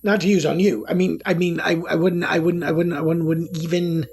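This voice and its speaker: A man's voice: fairly high pitch, little pitch movement, a little fast, a little mellow, a little hiss or hum, lightly acted. A man playing a flustered, bookish young wizard with an awkward, stammering manner in an audio romance story. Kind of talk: scripted audio fiction, narration and character dialogue. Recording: music behind the voice.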